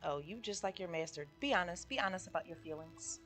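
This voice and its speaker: A woman streamer comments casually on a game. Her voice sits a little low, and she teases and gushes as she goes. Faint game music plays behind her. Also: fast talker, a bit bright. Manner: teasing, gushing.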